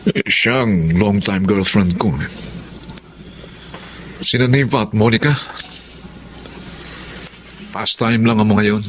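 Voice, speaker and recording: A man's voice: lowish voice, slow pace, long pauses, slight background noise, low-quality recording. An actor playing a vain, glib young womanizer with a cocky, colloquial manner in a Filipino radio drama. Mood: smug, casual.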